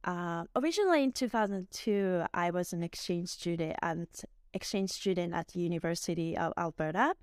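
A woman in her forties speaks English with a Japanese accent as a podcast guest. She is warm, laughs often and fills her sentences with "um" and "like". Her voice is a little low and smooth, recorded close and dry. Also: a little fast, few pauses, dry recording. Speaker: guest, dance instructor. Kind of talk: casual interview.

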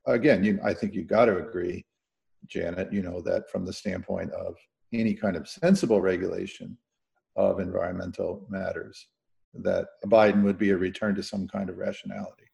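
A man with a low, gravelly voice and a soft, dark tone talks as a podcast panelist. His analysis is conversational and measured, with careful qualifications. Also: fairly even intonation.

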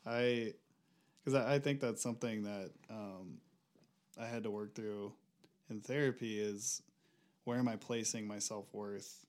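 A young man speaking as a podcast guest, reflective and hesitant, working through his thoughts with frequent pauses. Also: lowish voice, steady tone. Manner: reflective, hesitant, many fillers and restarts. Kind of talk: casual conversation.

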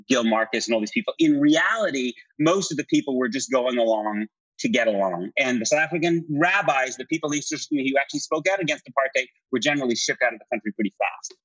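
A man's voice: mid-range voice, fairly bright tone, dry recording, low-quality recording. Discursive man in a dry, close recording. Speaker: interview guest, writer and commentator. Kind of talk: conversational political and historical explanation.

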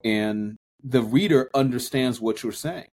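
A man's voice: medium pitch, darkish tone, a little hiss or hum. A man co-hosting a podcast, talking through ideas in a casual, reflective way with frequent restarts. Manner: thoughtful, restarts often, drawn-out phrases. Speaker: podcast co-host. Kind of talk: casual discussion.

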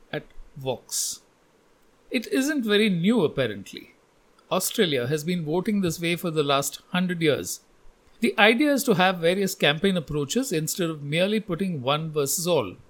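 A man hosting a podcast and reading scripted narration. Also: fairly high pitch. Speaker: podcast host.

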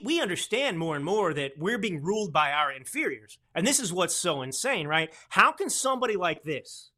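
Bright-voiced sarcastic male podcast host. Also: high-pitched. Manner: sarcastic, mocking, rambling with restarts. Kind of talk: political commentary podcast.

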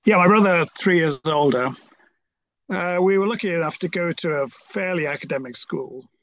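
A man's voice: fairly high pitch, normal speed, a bit bright, dry recording, muffled recording. A British man in his seventies from Merseyside, talking reflectively as an interview guest in a hesitant, rambling, understated way. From Merseyside, England. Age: 70s.

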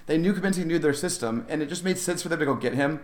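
A man's voice: a little high, fast. A man co-hosting a sports podcast, casually discussing basketball and often backtracking mid-thought as he makes his points. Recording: roomy, echoey sound.

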